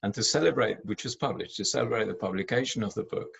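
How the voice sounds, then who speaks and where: Low gravelly man, hesitant and husky. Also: fast talker, a bit bright. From Argentina. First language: Spanish. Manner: hesitant, many fillers and restarts. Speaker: former head teacher, education speaker. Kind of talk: presentation talk on teaching.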